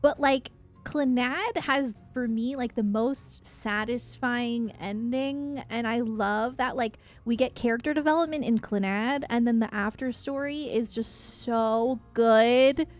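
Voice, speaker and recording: Woman, higher-pitched voice, casual livestream chat, rambling, effusive, music in the background. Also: a little slow. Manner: rambling, effusive, self-deprecating. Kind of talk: casual chatty livestream, reading and answering chat.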